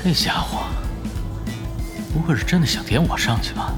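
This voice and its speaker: A man's voice: a little high, animated, moderate pace, lightly acted. An actor playing a wry, laid-back young man who mutters dryly in an audio drama. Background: music.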